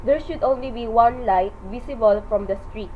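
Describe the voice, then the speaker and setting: A woman's voice: on the high side, normal speed, lightly acted. A woman playing a terse, cool criminal giving orders in a radio drama, clipped and questioning. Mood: cool, checking. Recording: thin phone-like sound with faint noise.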